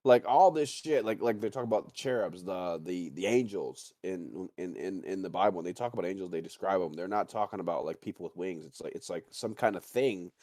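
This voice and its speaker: A man co-hosting a podcast in casual, opinionated chat, rambling and excitable, swearing freely. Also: low-pitched, a little fast, hardly any pauses, no room sound, clean studio sound. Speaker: podcast co-host.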